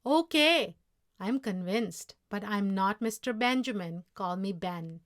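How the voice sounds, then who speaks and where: A woman voicing a brisk, friendly young male gardening boss in a storybook, giving plain-spoken instructions. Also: mid-range voice, expressive, a bit bright, dry recording, clean studio sound. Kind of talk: storybook read-aloud character voice.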